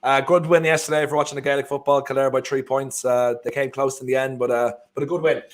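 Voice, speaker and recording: A man's voice: medium pitch, flat delivery, quick pace. A man with an Irish accent co-hosting a live football watchalong, chatting casually and rambling with frequent restarts. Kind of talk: casual live match chat.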